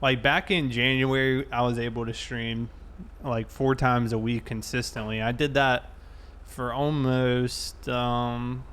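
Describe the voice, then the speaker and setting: A man's voice: mid-range voice, little pitch movement, slow pace, a bit bright. A man casually chatting and commenting while live streaming a game, with an easygoing manner. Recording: clear background game vehicle noise. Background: game vehicle and ambience sounds.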